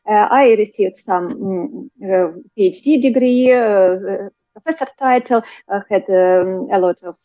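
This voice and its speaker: Mellow Ukrainian woman, dull audio. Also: mid-range voice, no echo. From Kharkiv, eastern Ukraine. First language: not English. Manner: halting, hesitant phrasing. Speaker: interview guest, physician and clinical researcher. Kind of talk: explanatory interview answers.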